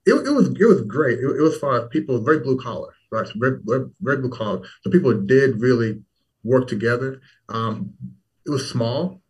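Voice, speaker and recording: A man's voice: mid-range voice, animated, a little fast, slightly rough, far from the mic, poor audio. A man from Toronto, Louisville and Washington DC, talking casually as an interview guest and repeating words for emphasis. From Toronto, then Louisville, Kentucky and Washington DC. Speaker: startup founder as guest.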